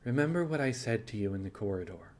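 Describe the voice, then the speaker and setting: A man voicing a frank, thoughtful animal pirate captain with a level, folksy and deliberate way of talking. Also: lowish voice, animated, a little mellow, natural voice.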